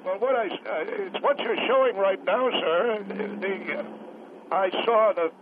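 Male host voicing a courteous elderly veteran caller, high and hesitant, over a tinny phone line. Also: measured pace, noisy background. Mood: halting, earnest.

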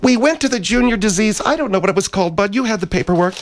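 A man voicing a scatterbrained society woman who goes to charity galas, hoarse and tripping over her words. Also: high-pitched, lively intonation, racing. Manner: flustered, trips over words. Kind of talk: comedic radio character bit.

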